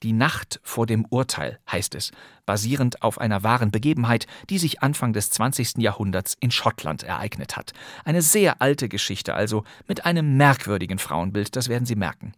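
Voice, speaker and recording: A man's voice: on the low side, animated, moderate pace, a bit bright, no echo, clean studio sound. A man hosting a crime podcast, introducing an old radio play in a wry, conversational way. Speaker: podcast host.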